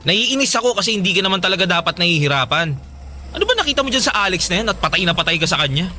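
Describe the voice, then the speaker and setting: A man's voice: high-pitched, sing-song, rapid-fire, sharp tone, faint music behind, heavily acted. A man playing a sulky, lovelorn young man in a radio drama, earnest and with a Filipino accent.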